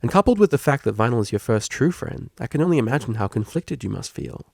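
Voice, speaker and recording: Man voicing a lively, kindly stallion tutor. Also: medium pitch, fast, gravelly, no echo, clean studio sound. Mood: calm, gentle. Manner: encouraging, informal, lively. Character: energetic kindly male pony tutor, understanding, playful. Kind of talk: fan fiction audiobook character reading.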